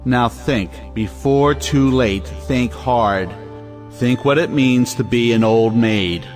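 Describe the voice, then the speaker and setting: A man's voice: medium pitch, slow, soft background music, close to an everyday voice. A man acting a domineering, exasperated, wealthy older brother in a theatrical audio play. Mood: urgent, bullying.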